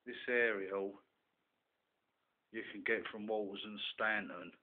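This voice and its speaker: A man talks through a hands-on hobby demonstration in a fairly low voice. He goes very slowly, in short halting pieces punctuated by little confirming tags. The sound is narrow and muffled, like a phone line. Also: fairly even intonation. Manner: halting, piecemeal explaining with frequent "right" tags. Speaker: hobbyist presenter. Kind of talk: informal show-and-tell demonstration. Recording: muffled, phone-like narrow-band camera audio.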